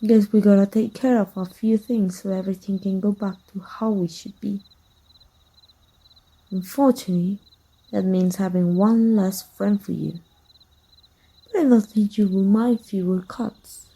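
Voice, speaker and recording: A woman playing a jealous, possessive villain in an intimate ASMR roleplay, soft-spoken, teasing and menacing. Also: mid-range voice, fairly even intonation, a little slow, breathy edge, poor audio. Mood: possessive, coolly menacing.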